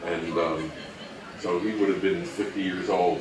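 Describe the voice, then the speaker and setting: A man's voice: low-pitched, steady tone, measured pace, crowd noise. A man giving a casual presentation and fielding questions from an audience. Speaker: presenter at a fan convention talk. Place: roomy indoor presentation space with audience. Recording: echoey room, distant mic, audience noise.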